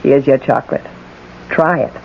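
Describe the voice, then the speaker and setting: An actress playing a lonely, adoring, genteel widow in a 1980s radio mystery drama, with theatrical, drawn-out endearments. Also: female voice, extremely low pitch, steady tone. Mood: adoring, yearning. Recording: muffled, noisy old radio broadcast recording.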